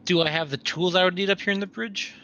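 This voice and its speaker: A man's voice: high-pitched, steady tone, fast talker, sharp tone, a little hiss or hum. A man chatting casually as a player in a tabletop roleplaying game.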